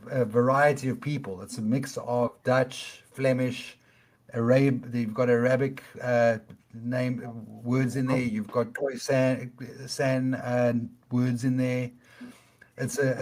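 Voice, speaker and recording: A man's voice: mid-range voice, a little mellow. A middle-aged man with a Cape South African accent, a guest explaining things in an interview, often restarting and checking in with "okay?". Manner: halting, many restarts, tags points with "okay?".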